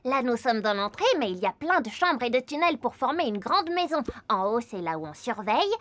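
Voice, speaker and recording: A woman's voice: on the high side, very animated, a little fast, fairly bright tone. A woman voicing a cautious, friendly young male meerkat who explains things earnestly in a preschool cartoon. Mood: friendly, proud.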